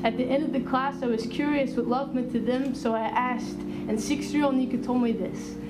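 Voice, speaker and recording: A woman's voice: high voice, fairly even intonation, normal speed. A young woman in her twenties performing spoken word poetry on a small club stage with rhythmic, emphatic delivery. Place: small club stage under red lights. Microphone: stage mic on stand. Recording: roomy live sound from a distance, noisy.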